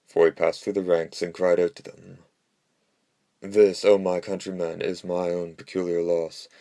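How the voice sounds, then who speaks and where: A man reading classical history aloud as scripted narration, in a measured monotone. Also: low voice, no room sound. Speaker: audiobook narrator.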